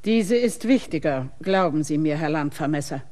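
A stern innkeeper. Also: female voice, sits low, animated, moderate pace, mellow. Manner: condescending, lecturing. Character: stern middle-aged woman innkeeper, guarding her maid. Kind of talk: radio play acting.

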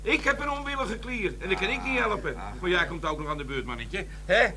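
A sarcastic, touchy heavy detective. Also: male voice, high voice, animated, brisk, noisy background. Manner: sarcastic, complaining. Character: overweight grumbling male detective, touchy and sarcastic. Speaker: police detective. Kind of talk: 1990s radio drama acting.

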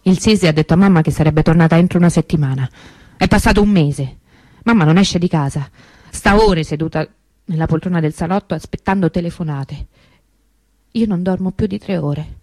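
A woman playing the tender, anguished sister of a missing girl in a halting, emotional radio theatre monologue. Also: on the low side, warm tone, no room sound, close to an everyday voice. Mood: weary, anxious. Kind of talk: dramatic stage monologue on radio.

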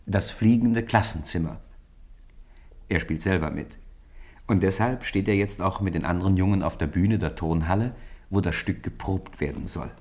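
A man narrating a 1950s radio play as a measured, expressive storyteller. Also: low voice.

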